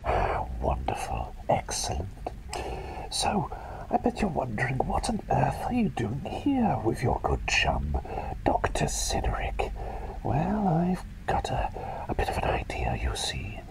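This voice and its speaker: Man as a whispering, creaky eccentric plague doctor. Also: medium pitch, huge pitch swings, unhurried, exaggerated voice, rough recording. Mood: playful, welcoming. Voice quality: breathy whisper. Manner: playful, rambling, softly reassuring. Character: eccentric whimsical plague doctor, chatty, attentive, male. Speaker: ASMR performer. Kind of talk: whispered ASMR roleplay, personal attention.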